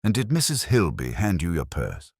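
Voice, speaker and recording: A man voicing a polite, quietly witty police inspector with a measured, unassuming manner in a mystery story. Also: low voice, huge pitch swings, breathy edge, no room sound, clean studio sound, pitched above the performer's own voice, exaggerated voice. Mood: polite, probing.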